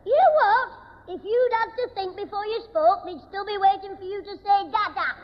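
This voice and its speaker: Cheeky, piping Northern English schoolboy. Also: male voice, very high voice, moderate pace. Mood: scornful, worried. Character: cheeky, sharp-tongued schoolboy living with his grandad. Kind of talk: 1970s radio sitcom acting. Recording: muffled noisy old radio recording, hall audience.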